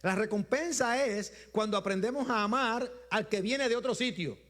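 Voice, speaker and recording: A man with a Puerto Rican accent preaching a Sunday sermon in a rhythmic style, repeating phrases and letting his voice drop low at the end of each point. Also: a bit bright. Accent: Puerto Rican Spanish. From Puerto Rico. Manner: rhythmic repetition, drops low at phrase ends, slows for emphasis. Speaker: bishop preaching.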